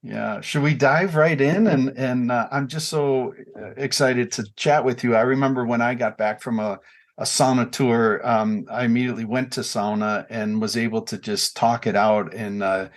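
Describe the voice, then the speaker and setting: A man of sixty hosts a relaxed podcast conversation. His pitch sits at an ordinary middle level, and he rambles with plenty of fillers, false starts and repeated words as he warms up to his guest. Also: normal speed. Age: 60s. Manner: rambling, many fillers and restarts. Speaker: podcast host. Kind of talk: casual podcast chat.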